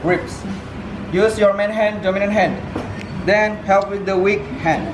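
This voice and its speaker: A man's voice: high voice, fairly even intonation, a little slow, background noise. A man teaching a cooking class, speaking in simple, clipped English with patient instructions. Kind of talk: giving cooking instructions to a group. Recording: echoey room with music and crowd chatter.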